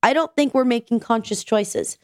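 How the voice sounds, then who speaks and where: A woman's voice: a little high, normal speed, no echo, studio quality. A woman co-hosting a casual podcast, chatty and sick with a nasal voice. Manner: chatty, tells stories with acted quotes. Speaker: podcast co-host.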